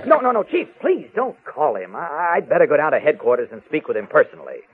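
Earnest, persuasive reporter, 1940s radio drama. Animated, slightly high, emphatic; thin vintage recording. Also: male voice, quick pace, breathy edge, narrow, tinny audio, heavily acted. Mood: exasperated, persuasive. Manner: reasoning, emphatic. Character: earnest, level-headed, persuasive newspaper reporter, adult man. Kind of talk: 1940s radio serial acting.

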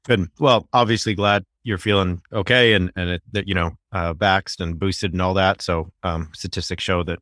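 A middle-aged man hosts a casual podcast, his voice sitting on the low side. He chats in a friendly, teasing way, padding his talk with hesitant fillers. The recording is dry and close. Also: steady tone, moderate pace, hardly any pauses, a bit bright, no room sound. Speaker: podcast host.

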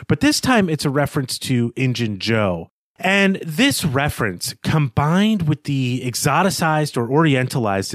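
Animated male podcast co-host, dry close sound. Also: medium pitch, dry recording. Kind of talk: literary discussion, conversational analysis.

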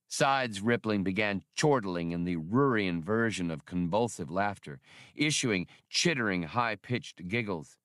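Guy reading a novel out loud, kinda low voice, really dry sound, no room. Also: dry recording. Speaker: audiobook narrator. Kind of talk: scripted fiction narration with character voices.

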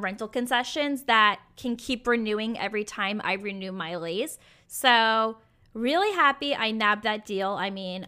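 Raspy, bright-voiced young woman. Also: fairly high pitch. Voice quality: raspy, sometimes croaky. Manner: candid, chatty, with fillers. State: hoarse from acid reflux. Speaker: podcast host. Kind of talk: solo podcast monologue.

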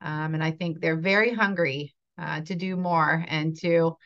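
A woman head coach answers interview questions in a low, measured voice with some fillers. Her audio sounds dull and lo-fi. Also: steady tone, fairly bright tone. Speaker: head softball coach, guest.

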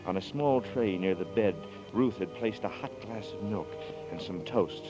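An actor playing a weary, guarded fugitive in a 1940s noir radio drama, speaking in a halting, understated way. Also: male voice, fairly low pitch, over loud music, natural voice. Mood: weary, reflective. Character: weary, guarded man on the run, feeling his way. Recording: muffled 1940s radio recording.

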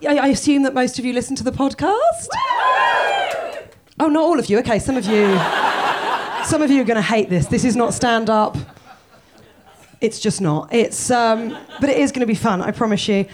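A woman hosting a live podcast on a theatre stage, joking and swearing with the audience. She is croaky from a cold. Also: fairly high pitch, expressive, moderate pace, pauses often, darkish tone. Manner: self-deprecating, jokey, sweary. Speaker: podcast host.

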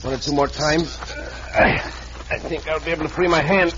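An actor playing a dashing heroic Western caballero, calm and formal, in 1940s radio acting, on a dull old radio recording with hiss. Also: male voice, on the high side, close to an everyday voice. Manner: formal, measured English.

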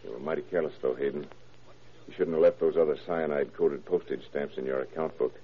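A very low-voiced police detective takes charge, calm and shrewd. He is gruff and wry in the old 1930s radio drama manner, on a muffled vintage broadcast recording. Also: fast talker. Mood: wry, stern.

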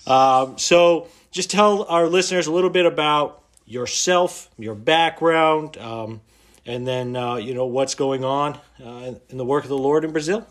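A man hosting a podcast interview, hesitant, with many pauses and fillers. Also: a little high. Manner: hesitant, many ums and uhs. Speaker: podcast host.

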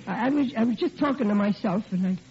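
An actor playing a bumbling, henpecked middle-aged working-class husband in 1940s radio comedy style, stammering and exasperated. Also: male voice, high-pitched, fast talker, darkish tone, close to an everyday voice. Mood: nervous, flattering. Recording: muffled, noisy old radio recording.

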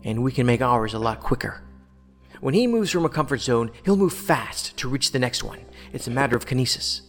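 A man's voice: mid-range voice, lively intonation, a little fast, lightly acted. A man plays a self-assured federal investigator in a sci-fi drama. His mid-range voice is wry and teasing. It rings in a reverberant space, with music faintly behind. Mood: focused, confident. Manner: playful, sardonic banter. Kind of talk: science fiction audio drama acting.

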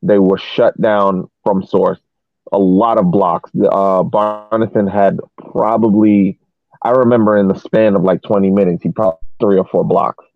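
A man co-hosting a sports podcast, talking through a soccer game casually in a halting, measured way that repeats words. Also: deep voice. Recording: roomy, thin phone-like call audio.

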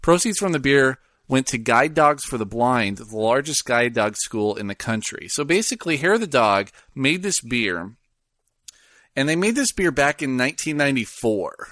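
A man talking casually on a podcast. His pitch rises and falls a lot, and he rambles through a news story with plenty of "uh"s. The sound is dry and close, with no room echo. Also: big pitch swings, moderate pace, a bit bright. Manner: rambling, hesitant, reads news aloud. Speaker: podcast co-host. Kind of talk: casual chat.

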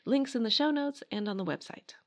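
A woman's voice: fairly high pitch, expressive, brisk. A woman hosting a podcast, reading scripted history narration in a wry, emphatic way. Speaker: podcast host.

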